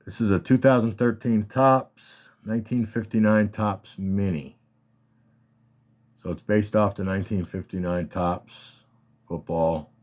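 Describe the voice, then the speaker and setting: A man with a slightly scratchy voice, casually and haltingly talking through his collection as a hobbyist host. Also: on the low side, steady tone, darkish tone. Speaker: collector hosting a card showcase. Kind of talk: casual rambling show-and-tell talk.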